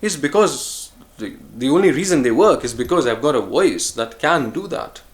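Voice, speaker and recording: A man vlogging a reflective solo monologue, musing and earnest, with frequent pauses and restarts. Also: mid-range voice. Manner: earnest, speaks of choosing calm over harshness.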